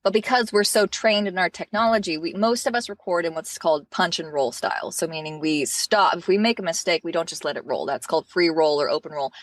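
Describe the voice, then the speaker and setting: A woman who works as an audiobook narrator, chatting in an interview with a low, smoky voice and lively, quick asides. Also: dry recording. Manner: animated, laughs often, fast asides. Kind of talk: casual interview chat.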